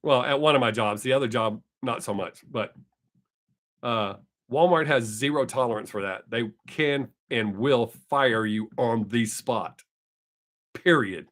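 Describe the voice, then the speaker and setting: A man's voice: medium pitch, measured pace, a bit bright. Chatty older man with a drawl. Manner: rambling, self-correcting, chatty. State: voice not fully recovered. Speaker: live stream host. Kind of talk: casual chat with viewers.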